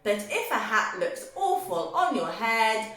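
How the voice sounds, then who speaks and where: A woman's voice: on the high side, sing-song, unhurried, a bit bright. A woman reading a rhyming children's picture book aloud. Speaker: storybook reader. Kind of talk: scripted children's rhyme read aloud. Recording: very echoey roomy sound.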